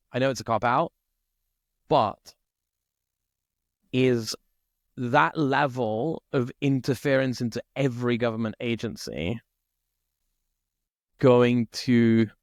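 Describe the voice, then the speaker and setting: A man from Italy co-hosting a podcast, chatting casually and thinking out loud, often checking in with "right?". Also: mid-range voice, no echo, clean studio sound. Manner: thinks aloud, peppers speech with "like" and "right?". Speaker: podcast co-host. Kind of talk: casual conversation.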